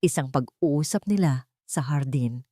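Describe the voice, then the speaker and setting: A woman's voice: sits low, unhurried, dark tone, no room sound, clean studio sound. A woman with a Filipino accent reading a dramatic love story as a scripted narration.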